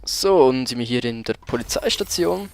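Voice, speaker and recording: A man's voice: medium pitch, animated, moderate pace, vocal fry. Man casually commentating a video game, often hesitating. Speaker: let's play host.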